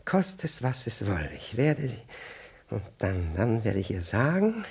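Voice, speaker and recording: An actor playing a pompous, scatterbrained, middle-aged senior ministry official in a radio play, stammering and losing his thread, with a husky, breathy voice. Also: on the low side, very animated, measured pace, darkish tone, full character voice. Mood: jealous, brooding. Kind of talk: 1960s German radio play acting.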